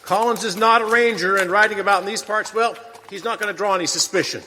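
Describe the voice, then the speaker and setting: An actor playing a resolute Texas Ranger captain in a western radio drama, hearty and commanding. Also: male voice, high-pitched, steady tone, fast, pushed and bright. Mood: brisk, commanding. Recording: long hall reverb, faint noise.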